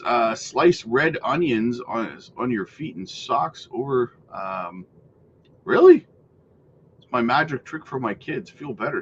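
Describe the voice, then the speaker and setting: Hoarse man hosting a crypto livestream. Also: mid-range voice, fairly bright tone, dull audio, slight background noise. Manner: rambling, many fillers, reacts to chat. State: losing his voice. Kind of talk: casual livestream chat reading viewer comments.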